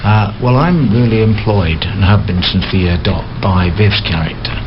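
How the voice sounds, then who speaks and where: An older man speaking haltingly as a guest in a casual radio interview. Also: fairly low pitch. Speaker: interview guest, amateur actor. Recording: dull, clearly noisy recording.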